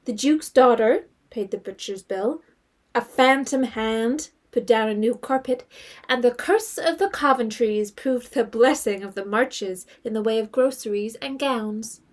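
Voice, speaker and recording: A woman narrating an audio drama as a composed literary storyteller, in a measured manner. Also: fairly high pitch, natural voice. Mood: composed storytelling.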